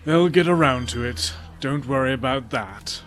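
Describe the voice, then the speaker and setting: A man playing a sardonic, coolly menacing former high priest in an audio drama, with ominous, theatrical phrasing. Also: normal speed, a bit bright, soft background music, natural voice.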